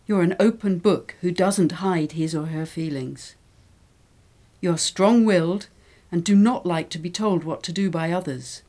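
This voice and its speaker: A woman reading model texts aloud for English learners, with a clear and careful delivery. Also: fairly low pitch, measured pace, dark tone.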